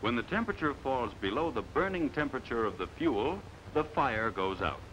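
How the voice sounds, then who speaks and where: An American man narrating a scripted 1950s training film in a measured, authoritative, emphatic manner, on a vintage soundtrack. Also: medium pitch, expressive, fairly bright tone, slight background noise, low-quality recording.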